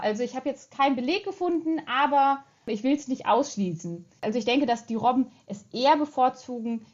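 A woman's voice: a little high, a little mellow. A woman explaining as an animal expert in a spontaneous interview answer. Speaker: seal expert. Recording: slightly dull, lo-fi recording.

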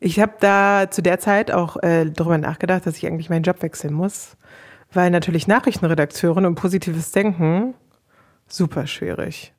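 Casual, slightly low-voiced woman. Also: steady tone, normal speed. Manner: colloquial, conversational. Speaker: interviewee telling own story. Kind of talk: casual spoken recollection.

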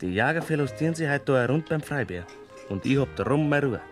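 An actor playing a reckless, stubborn young Bavarian poacher in a classic radio play. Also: male voice, mid-range voice, expressive, fairly quick, soft background music, lightly acted. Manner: stubborn, bold.

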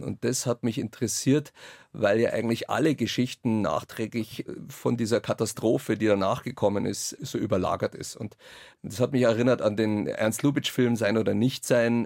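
A man's voice: on the low side, vocal fry, darkish tone, no echo. A man reflecting informally in an interview, rephrasing as he goes, close to the microphone. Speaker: author interviewed about his radio play.

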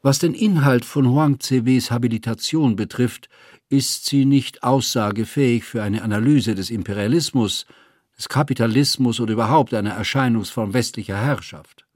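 A man's voice: medium pitch, normal speed, darkish tone, no room sound, clean studio sound. A man narrating a scripted literary text in a formal, matter-of-fact way.

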